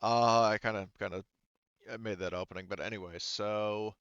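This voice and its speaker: A man's voice: lowish voice, fairly even intonation, normal speed, fairly bright tone, clear, resonant tone, dry recording, dull audio. A man acting as game master, casually narrating a tabletop game in an informal, joking way with some swearing.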